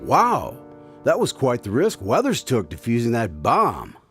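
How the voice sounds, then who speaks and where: Man as an animated radio mystery host over music. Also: mid-range voice, unhurried, heavily acted. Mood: eager, friendly. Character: eager, friendly male radio mystery show host. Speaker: radio drama host and announcer. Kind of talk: old-time radio host presentation. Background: guitar music.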